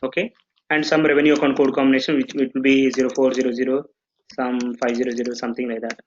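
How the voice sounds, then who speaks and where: Brief: male trainer, mid pitch, talks through setup steps with lots of "okay"s, dull lo-fi audio. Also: flat delivery, fast, a little hiss or hum. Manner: explanatory, full of "okay" checks and restarts. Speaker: software trainer. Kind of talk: live online training session.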